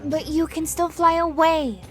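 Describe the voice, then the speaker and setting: Woman playing a high-pitched, anxious young pony. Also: steady tone, measured pace, soft background music. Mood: urgent, worried. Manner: fretful, exclaiming. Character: anxious, exasperated young female pony, easily alarmed. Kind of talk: audio drama character acting.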